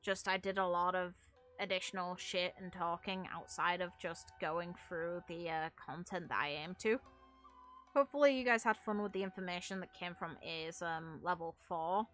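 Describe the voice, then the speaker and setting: A woman's voice: lowish voice, normal speed, a bit bright. A woman giving casual, rambling gameplay commentary as the host of a gaming video. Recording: music playing behind the voice. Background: background music.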